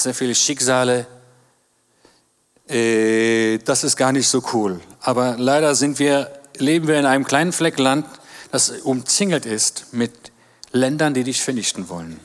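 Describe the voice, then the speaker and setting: A man in his fifties, born in Germany and raised in Israel, preaching a sermon as a guest speaker. He talks animatedly, rising in pitch for emphasis and calling for amens. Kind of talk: sermon to a congregation. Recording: long reverb of a church hall.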